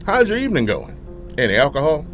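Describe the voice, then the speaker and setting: Cop at a checkpoint, polite n official, pitch jumps around loads, faint music behind. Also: male voice, wildly swooping pitch, fast, a bit bright. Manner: formal, procedural. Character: polite, routine-minded male police officer at checkpoint. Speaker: police officer. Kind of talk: audio drama acting.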